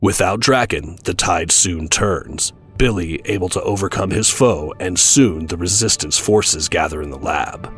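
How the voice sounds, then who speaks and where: A man's voice: low-pitched, a little slow, few pauses, fairly bright tone, breathy edge, soft background music. A man reading scripted narration for an audio drama.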